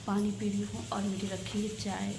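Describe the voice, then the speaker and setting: A woman with a sore, hoarse throat talking to her phone camera in a home kitchen, chatty and hurried as she cooks. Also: medium pitch, level tone. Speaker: homemaker vlogger. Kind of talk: casual talk to camera while cooking. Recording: home phone video with clear background noise.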